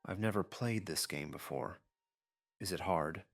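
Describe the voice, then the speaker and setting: A man's voice: sits low, a little slow, fairly bright tone, no echo, soft background music, heavily acted. A man voicing a naive, blunt young boy in an anime audiobook, offhand and casual. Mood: flippant.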